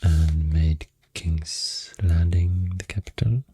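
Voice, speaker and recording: A man with a very low, dark voice and a Swedish accent speaks softly and breathily, close to a whisper. He rambles slowly and hesitantly in ASMR style, right up on a dry microphone. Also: steady tone, no room sound. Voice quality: soft, breathy, near-whisper. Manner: hesitant, unscripted, unhurried. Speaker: ASMR creator. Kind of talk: soft-spoken ASMR rambling explanation.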